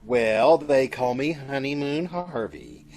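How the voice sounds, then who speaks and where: A man's voice: mid-range voice, expressive, takes it slowly, a little mellow. A man playing a nosy, pompous hotel bellman in a chatty, self-important old-time radio comedy style. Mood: officious. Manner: chatty, fussy, self-important, swooping delivery.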